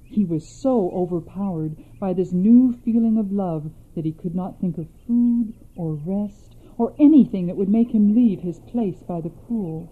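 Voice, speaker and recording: A young princess spinning old myths for her aunt in a radio drama. She narrates calmly and evenly at a middle pitch. The sound is thin and tinny, like over a phone line. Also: slightly rough. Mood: storytelling. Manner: calm, measured narration. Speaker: storyteller. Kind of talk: scripted storytelling in a radio drama.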